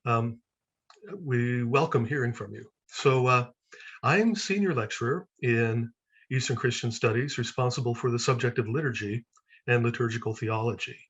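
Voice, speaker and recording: American lecturer, husky voice, muffled recording. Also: male voice, mid-range voice, moderate pace, fairly bright tone. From United States. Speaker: senior lecturer. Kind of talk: self-introduction at an online event. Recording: dull, lo-fi.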